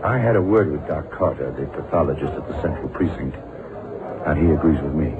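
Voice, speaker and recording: An actor playing a shrewd, wry investigator in a radio drama, speaking in a dry, unhurried, self-assured way. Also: male voice, very deep voice, expressive, noisy background. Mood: assured, explaining. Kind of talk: 1960s-70s radio drama acting. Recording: narrow-band old radio recording with music.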